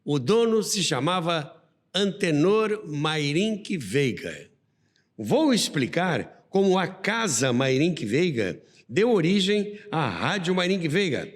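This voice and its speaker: Lively Brazilian man in a reverberant space. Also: on the high side, slow pace. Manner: didactic, expressive storyteller. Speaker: podcast host. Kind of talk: conversational storytelling about radio history. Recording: long reverberant hall-like echo.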